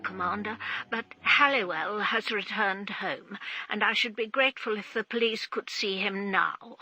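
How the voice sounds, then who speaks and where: A woman's voice: medium pitch, sharp tone, husky, dry recording, exaggerated voice, poor audio. A woman playing a formal, imperious titled lady with clipped politeness in a radio drama.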